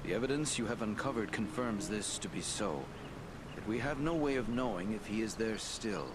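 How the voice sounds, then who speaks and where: An actor playing a wise, grave elven lord in a fantasy game, giving counsel in formal, measured phrases. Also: male voice, lowish voice, expressive, background noise, natural voice. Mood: grave, dignified. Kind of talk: fantasy game cutscene acting.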